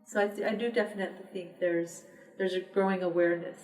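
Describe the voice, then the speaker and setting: A woman's voice: lowish voice, steady tone, darkish tone, faint music behind. Woman guest from the Texas border, reflective, roomy sound off-mic. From Texas border region. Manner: thoughtful, measured, with frequent restarts. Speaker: interview guest, poet and publisher. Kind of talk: reflective conversational interview. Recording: echoey room, distant mic.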